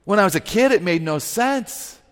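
A woman with an unusually low voice gives a lively, humorous talk to a live audience through a microphone. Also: normal speed. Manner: lively, humorous, emphatic, laughs at herself. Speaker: guest speaker and author. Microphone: microphone on a stage. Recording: live talk with long hall reverb.

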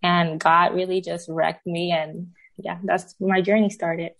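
A woman in her thirties from Puerto Rico, a native Spanish speaker with a Puerto Rican Spanish accent, telling her faith story as an interview guest, halting and full of fillers. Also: fairly low pitch, flat delivery, gravelly, a bit bright. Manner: halting, many fillers and restarts. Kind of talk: personal faith testimony.

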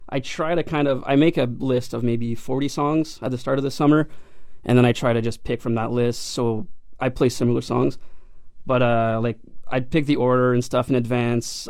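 A man's voice: mid-range voice, darkish tone, no echo, clean studio sound. A young man, a country singer, answering interview questions in a modest, hesitant way with many fillers.

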